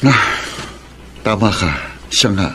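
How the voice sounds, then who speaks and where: A man's voice: on the low side, takes it slowly, fairly bright tone, slight background noise. A Filipino man acting as an earnest, lovestruck politician in a radio drama. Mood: confessing, smitten.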